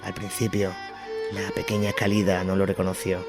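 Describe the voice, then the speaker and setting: A man's voice: sits low, monotone, normal speed. A man reading a scripted documentary-style war narration. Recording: music bed under voice. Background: music.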